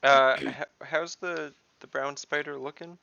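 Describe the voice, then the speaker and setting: A man's voice: a little high, fairly even intonation, a little slow, creaky, pushed and bright, smooth, highs cut off. A man chatting casually as a player in an online tabletop role-playing game. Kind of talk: casual online gaming chat.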